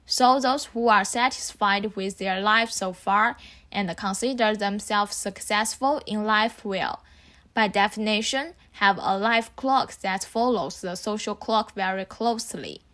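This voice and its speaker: A young woman in her twenties, originally from southeast China, reads a scripted narration for a documentary. Her delivery is calm and reflective, steady and measured. Her voice sits at a medium pitch. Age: 20s. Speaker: narrator of a student film.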